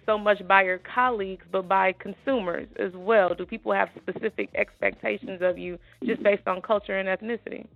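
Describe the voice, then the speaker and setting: Gravelly-voiced interviewer on a tinny line. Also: medium pitch, steady tone, normal speed, few pauses. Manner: warm, appreciative, conversational. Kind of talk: friendly interview.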